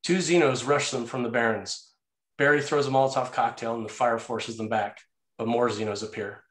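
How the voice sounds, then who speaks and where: A man's voice: mid-range voice, fairly even intonation, normal speed. A man narrating action and scene headings from a screenplay in a scripted read. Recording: roomy, echoey sound.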